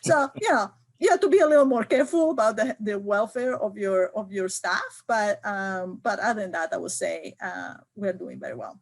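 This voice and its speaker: A woman's voice: mid-range voice, normal speed, gravelly. Hesitant middle-aged woman IT leader. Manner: hesitant, many fillers and restarts. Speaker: IT leader guest. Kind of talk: remote interview conversation.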